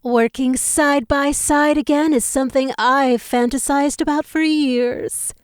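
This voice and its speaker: A woman's voice: high-pitched, steady tone, measured pace, dry recording, clean studio sound. A woman playing a self-assured, flirty female bat spy with poised delivery in a fan audio drama. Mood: confident.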